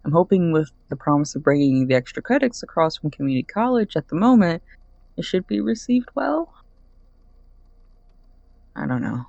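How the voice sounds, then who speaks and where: A man's voice: on the high side, expressive, normal speed, gravelly, pauses often, darkish tone, no room sound, dull audio. A man playing an earnest, hopeful young friend leaving a hesitant answering-machine message in an audio drama. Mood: wistful, sincere. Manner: hesitant, sincere, rambling.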